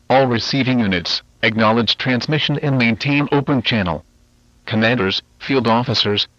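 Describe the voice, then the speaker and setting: A man's voice: medium pitch, fairly bright tone, dry recording, lightly acted. A man plays a stern government emergency announcer in an analog horror broadcast. His delivery is formal and authoritative, with clipped military phrasing. The sound is dry and somewhat muffled, like a lo-fi transmission. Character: stern official of a government biohazard agency issuing evacuation orders. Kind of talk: scripted emergency alert narration, analog horror.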